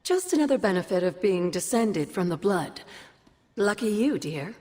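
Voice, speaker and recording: An actress playing a wry older sorceress mentor in a game cutscene, measured and knowing, with fond teasing. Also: female voice, mid-range voice, big pitch swings, mellow, long reverb tail, natural voice. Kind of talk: game cutscene dialogue, expository storytelling.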